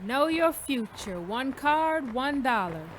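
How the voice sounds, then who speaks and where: An actress playing a streetwise young tarot-card hustler in an audio drama, unimpressed and curt, with a hawker's patter. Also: female voice, high voice, animated, takes it slowly, a little hiss or hum, low-quality recording. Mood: hawking.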